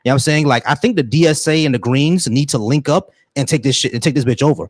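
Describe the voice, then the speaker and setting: A man's voice: medium pitch, no echo, studio quality. A man in his thirties hosting a casual political call-in show, slangy with many restarts. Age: 30s. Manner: rapid, repetitive restarts, slangy. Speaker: host.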